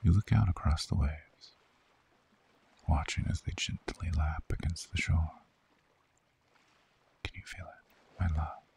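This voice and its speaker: A man playing a seductive, soothing vampire lover in an ASMR sleep roleplay, speaking in a hushed, coaxing, breathy whisper. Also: very deep voice, a little slow, pauses often, full character voice. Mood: soothing, hypnotic.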